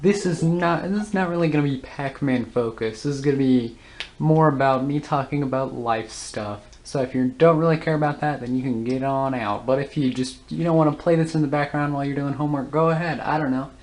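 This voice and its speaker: A man's voice: mid-range voice, fairly quick, a little mellow. Male gaming host, unscripted, rambling, frequent stutters and restarts. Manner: stutters and stumbles over words; rambling, thinks aloud with many fillers. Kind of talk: unscripted casual talk over gameplay.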